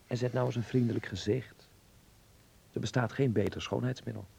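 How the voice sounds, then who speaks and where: An actor playing an eager, bossy young son who dreams of films, in a Dutch radio play, with a husky, creaky voice and an instructing, fervent manner. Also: male voice, medium pitch, steady tone, a little fast, dark tone. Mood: calm, absorbed. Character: eager, bossy young film-mad son, stage-struck.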